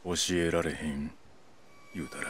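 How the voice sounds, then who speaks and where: An actor with a Kansai accent playing a brooding, hardened escaped convict in a video game cutscene, gruff, blunt, proud and stubborn. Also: male voice, very deep voice, steady tone, moderate pace, a little hiss or hum. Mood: guarded, stubborn.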